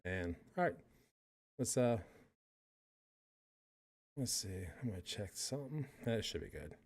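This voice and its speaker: A man's voice: mid-range voice, expressive, measured pace, husky. A middle-aged man hosting a stream, talking casually and rambling, with frequent restarts. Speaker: stream host reacting to a podcast. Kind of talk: casual personal commentary.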